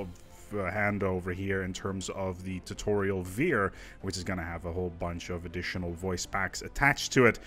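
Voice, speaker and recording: A man's voice: sits low, a bit bright. A man presenting casual gaming news commentary in an informal, conversational, musing manner.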